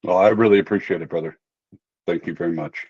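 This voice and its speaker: A man's voice: low-pitched, fast, a little mellow, husky, dry recording. A man chatting in a friendly, reassuring way on a video call. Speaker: participant. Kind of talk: casual chat on a video call. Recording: dull lo-fi video-call audio.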